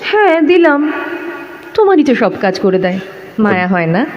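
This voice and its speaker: A woman's voice: a little high, normal speed, lo-fi sound. A woman acting a tender, teasing and evasive young woman in love in a romantic audio play. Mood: playful. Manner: coy, expressive, with soft repeated refusals. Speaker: audio drama actor. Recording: noisy track with ambient sound behind.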